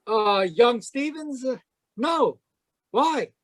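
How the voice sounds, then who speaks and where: A man plays a glib, high-pitched fellow from a frontier radio play. He is evasive and placating, with hesitant turns of phrase. The sound is close and dry. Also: animated, slow, a bit bright, no echo, exaggerated voice. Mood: blustering, evasive. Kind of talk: old-time radio drama acting. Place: home, live online video performance.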